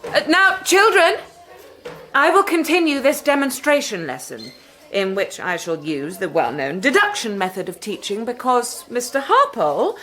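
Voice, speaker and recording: A woman playing a defiant, sardonic schoolteacher in a radio drama, with deadpan classroom authority. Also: a little high, moderate pace. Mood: sardonic, instructive.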